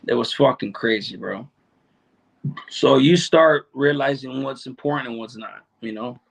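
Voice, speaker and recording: Man, middle-aged, gravelly, raspy edge, streetwise slang, casual livestream chat. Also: medium pitch, unhurried, poor audio. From Salvadoran, raised in Chicano neighborhoods. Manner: streetwise slang, heavy swearing, repeats himself. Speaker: livestream host answering chat.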